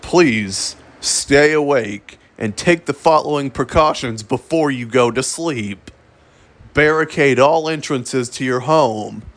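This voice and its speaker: A man narrating a scripted emergency broadcast in a formal, solemn and deliberate manner. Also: medium pitch, a bit bright. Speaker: emergency alert announcer.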